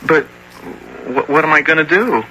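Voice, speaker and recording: An actor playing a simple, earnest, dreamy teenage boy in a radio drama, speaking in a naive, halting, wondering way. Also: fairly high pitch, pushed and bright, a little hiss or hum, full character voice, rough recording. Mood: hesitant. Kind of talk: 1970s radio drama acting, first-person storytelling. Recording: old radio broadcast with music underneath.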